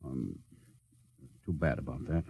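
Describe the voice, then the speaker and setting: An actor playing a stern, short-tempered rocket ship captain in a clipped 1950s radio drama style. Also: male voice, extremely low pitch, expressive, a little slow, gravelly, dark tone, slightly rough, full character voice. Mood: subdued, sympathetic. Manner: clipped, commanding.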